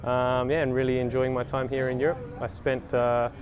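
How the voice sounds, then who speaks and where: A man in his thirties, a race mechanic talking casually in an interview, with many pauses and restarts. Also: medium pitch, completely flat delivery, a little mellow. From Australia. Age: 30s. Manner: hesitant, many ums and restarts.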